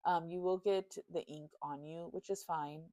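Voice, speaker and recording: A woman in her thirties chatting casually and relaxed, with easy fillers and light laughter, telling a storytime while beading. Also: lowish voice, moderate pace, no echo. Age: 30s. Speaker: crafter and vlogger. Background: faint chill music.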